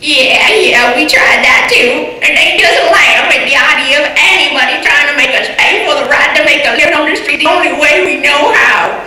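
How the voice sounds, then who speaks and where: An actress playing a folksy, rambling, tipsy apple seller in a live comic radio play. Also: female voice, sits high, moderate pace, hardly any pauses, pushed and bright. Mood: indignant. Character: folksy, tipsy apple-seller woman rallying street vendors. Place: hotel convention room, recorded from audience. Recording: echoey hall, distant camera mic, audience.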